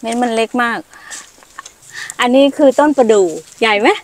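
Middle-aged woman showing trees on her farm, slow explaining, lots of ums, faint outdoor hiss. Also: a little high. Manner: unhurried, explanatory, hesitant fillers. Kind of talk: casual guided walk explaining trees.

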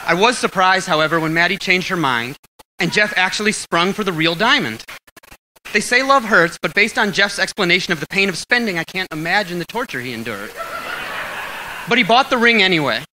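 Deadpan man reading a wedding toast. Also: high voice, a little fast, a little hiss or hum. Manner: dry, deadpan delivery over audience laughter. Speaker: wedding toast giver, friend of the groom. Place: large banquet hall with band stage. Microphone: handheld mic held low. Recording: roomy hall from a distant camera with crowd. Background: wedding guests laughing.

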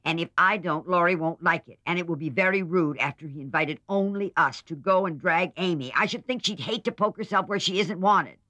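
A man voicing an impatient, sharp-tongued teenage girl in a storybook reading. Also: high voice, no echo, pitched above the performer's own voice. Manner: brisk and sharp.

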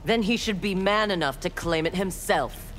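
A woman's voice: lowish voice, moderate pace, natural voice. Woman acting a proud, cold armored warrior woman. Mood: cold disdain. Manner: curt, disdainful, threatening. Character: proud armored female ninja warrior and engineer, cold, confident. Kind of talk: video game fight intro dialogue. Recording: music behind.

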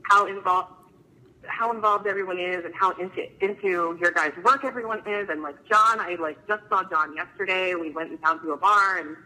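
A woman's voice: fairly low pitch, normal speed, bright, forceful tone, poor audio. A woman fan calling in to chat casually and tell personal stories, nervous and gushing, peppering her talk with "like". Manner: nervous, gushing, filler-heavy "like". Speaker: fan calling in, emergency room nurse.

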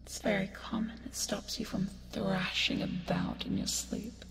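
A woman playing a seductive, teasing sleep demon in an ASMR roleplay, breathy and often whispering, creepy yet soothing as she coaxes. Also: medium pitch, level tone, fairly bright tone. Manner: slow, taunting, coaxing with pet names. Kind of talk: ASMR roleplay, hypnotic bedtime delivery. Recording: long reverb, music behind. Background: music.

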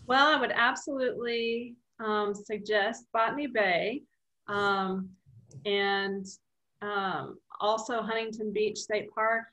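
A middle-aged woman talks through a slideshow on a video call, loose and conversational, stopping for "um" and starting sentences over. Her voice is mid-pitched and sits in a roomy, echoey space. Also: takes it slowly. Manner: relaxed, chatty, many fillers and restarts. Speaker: wildlife nonprofit director presenting a webinar. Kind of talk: casual presentation on a video call.

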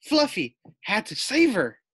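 A man's voice: high voice, wildly swooping pitch, measured pace, a bit bright, breathy edge, no room sound, studio quality. A man with an American accent reading a children's story aloud like a teacher, putting questions to his young listeners. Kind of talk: expressive children's read-aloud narration.

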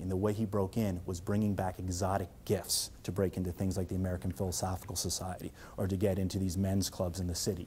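A man's voice: fairly low pitch, a little mellow. A middle-aged man with a Philadelphia accent giving an academic seminar talk in a room with an audience, enthusiastic and rambling with many restarts and fillers. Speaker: visiting lecturer.

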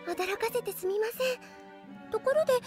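A woman's voice: very high-pitched, moderate pace, music in the background. A woman playing a sweet, devoted middle-school girl who speaks very politely, in a Japanese anime audio drama. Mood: polite, gentle.

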